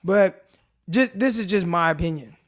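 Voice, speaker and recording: A man with a naturally raspy, dry voice hosting a casual live sports talk stream, chatting straight to his viewers in relaxed slang. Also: high-pitched, moderate pace. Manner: laid-back slangy chat addressing viewers directly. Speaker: podcast host. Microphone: desk mic with earbuds in.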